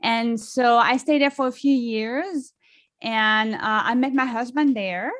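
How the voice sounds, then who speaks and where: A woman's voice: fairly high pitch, measured pace, fairly bright tone, no room sound. A middle-aged woman with a French accent, chatting as a songwriter guest in an interview, with many fillers and restarts. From France. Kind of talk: casual interview chat.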